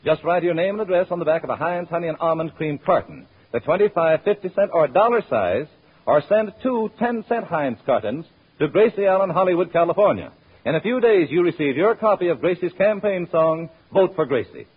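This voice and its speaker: A man's voice: fairly high pitch, animated, fairly quick, breathy edge. An American man announcing in a polished, emphatic 1930s-40s radio advertising style. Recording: muffled, narrow-band old radio recording.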